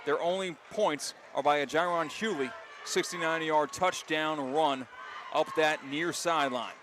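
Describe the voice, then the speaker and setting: High-pitched American man calling football play-by-play. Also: unhurried. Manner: emphatic, dramatic calls. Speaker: play-by-play announcer. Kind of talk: live American football radio play-by-play commentary. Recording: clear outdoor stadium noise behind. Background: outdoor stadium ambience.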